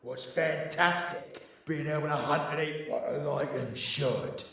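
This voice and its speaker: A man playing a rough, laddish, hungry werewolf henchman in an audio drama, with a gravelly British voice. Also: on the high side, fairly even intonation, unhurried, pushed and bright, heavy reverb, recorded from a distance, full character voice. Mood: gleeful.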